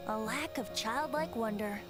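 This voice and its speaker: Woman playing a high-voiced whimsical young bard. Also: measured pace, natural voice. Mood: musing. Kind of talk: video game voice acting. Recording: loud game music behind.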